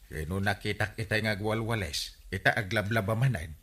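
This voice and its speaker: An actor playing a bumbling, forgetful village captain in an Ilocano radio comedy, stammering and exclaiming in a flustered way. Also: male voice, on the low side, fairly quick, a bit bright. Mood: grumbling. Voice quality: stammering, hesitant speech with many eh's.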